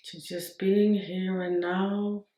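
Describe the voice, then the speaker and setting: A woman meditation teacher leading a guided meditation, giving gentle, unhurried instructions in short phrases. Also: mid-range voice, flat delivery, echoey room.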